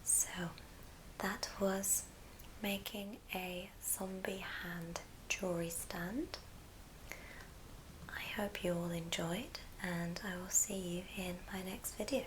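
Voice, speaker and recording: A woman whispering breathily in a gentle ASMR craft tutorial, explaining step by step. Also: mid-range voice, steady tone, slow pace, noisy background.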